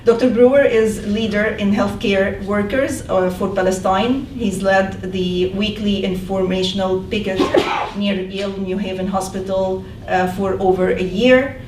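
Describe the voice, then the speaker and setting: A woman's voice: medium pitch, steady tone, measured pace, few pauses. A woman hosting a public event and introducing speakers, hesitant with many fillers. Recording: echoey room, mic at a distance, noisy.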